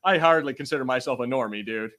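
A male podcast host in relaxed conversation. He talks informally, leaning on slang and the occasional swear word. The delivery is off-the-cuff banter between co-hosts. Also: mid-range voice, fast talker.

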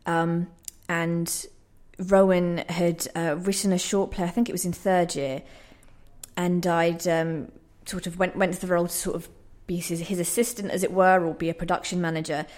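A woman's voice: lowish voice, little pitch movement, moderate pace, darkish tone. A young woman, a playwright, talking casually as an interview guest in a hesitant, earnest manner.

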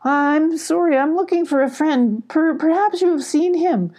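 A woman's voice: medium pitch, big pitch swings, a little slow, dark tone, slightly rough. A woman voicing an anxious, plucky little girl kitten who pleads earnestly in a children's storybook. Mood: nervous, polite. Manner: pleading, faltering when scared, talks to herself.

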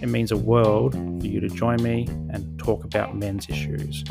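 Creaky-voiced Australian man hosting a podcast. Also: lowish voice, little pitch movement, unhurried, music in the background. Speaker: podcast host. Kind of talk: podcast introduction and interview.

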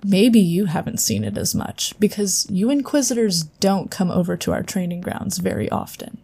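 An actress playing an earnest psionic agent in a sci-fi audio drama, speaking conversationally. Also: female voice, medium pitch, big pitch swings, normal speed, creaky, dark tone, breathy edge, exaggerated voice. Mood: concerned, insistent. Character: earnest female psionic agent, loyal but wary.

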